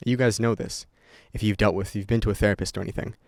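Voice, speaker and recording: A man's voice: on the low side, fairly even intonation, fast, dry recording, clean studio sound. A young man talking unscripted to his camera, rambling and swearing freely with many restarts. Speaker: content creator vlogger. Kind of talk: unscripted casual talk to camera.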